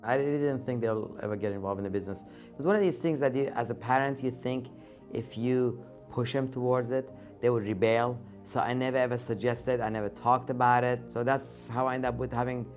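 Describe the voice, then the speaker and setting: Middle-aged man from Iran, medium pitch. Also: fairly even intonation, brisk, soft background music. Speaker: franchise owner. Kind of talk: interview testimonial.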